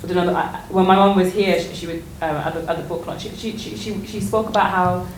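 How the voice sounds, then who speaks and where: A woman with a lowish voice speaks as a panellist in a live discussion. She is halting, repeating words as she thinks, in a very roomy space with some background noise. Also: steady tone. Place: indoor public exhibition discussion.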